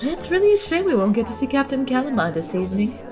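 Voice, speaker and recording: A woman's voice: high voice, sing-song, quick pace, music in the background. A woman playing a bubbly, nagging wife. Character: chatty, fussy wife on an ocean liner. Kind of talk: amateur radio play acting.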